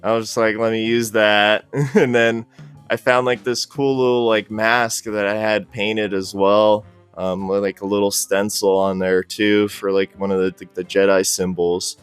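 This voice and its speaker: Low, smooth-voiced man chatting casually. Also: flat delivery, moderate pace, faint music behind. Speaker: podcast guest, cosplayer. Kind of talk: casual interview chat.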